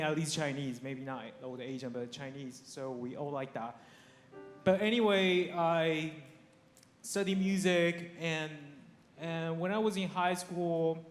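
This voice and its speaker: A man from Taiwan, sharing his life story with a church congregation in a chatty way, laughing often and restarting his sentences. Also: a little high. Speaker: guest speaker. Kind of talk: personal testimony to a congregation. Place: church sanctuary. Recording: echoey hall with faint noise.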